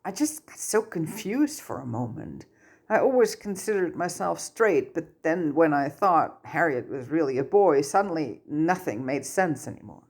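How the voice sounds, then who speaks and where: A woman plays a young male student in a storybook reading, low in pitch. He sounds awkward and earnest, hesitant and easily flustered. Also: big pitch swings, moderate pace, a little mellow, breathy edge, full character voice. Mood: earnest, unsure.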